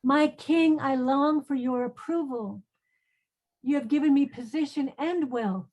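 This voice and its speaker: A woman's voice: sits high, measured pace, darkish tone, rough recording. A woman acting an earnest, proud, devout condemned queen in an online play reading. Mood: pleading.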